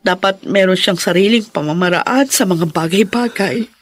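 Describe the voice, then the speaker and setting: An actress in a Filipino radio drama playing a devoted, encouraging daughter-in-law, speaking in an earnest, heartfelt way. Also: female voice, mid-range voice, normal speed, natural voice.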